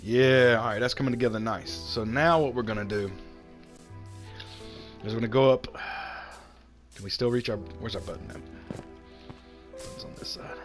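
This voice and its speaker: A man with a cold, hoarse and a little raspy, chatting casually while he builds in a video game and talks himself through each step. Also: medium pitch, normal speed, pauses often, soft background music. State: hoarse from sinus infection. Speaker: gaming channel host.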